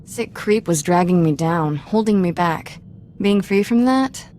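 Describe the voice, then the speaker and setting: A woman's voice: on the low side, a little slow, a little mellow, slight background noise, natural voice. A woman playing a spirited, irreverent young Jedi apprentice in a video game, talking in a wry, informal way. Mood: reflective.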